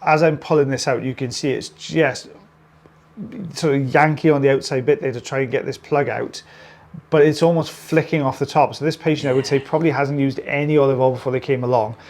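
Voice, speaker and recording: Casual: british guy talking through an ear procedure, relaxed and chatty, average voice. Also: mid-range voice, fairly quick. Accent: British English. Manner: relaxed, chatty explaining with fillers. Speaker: ear wax removal clinician. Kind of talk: explaining a procedure on camera.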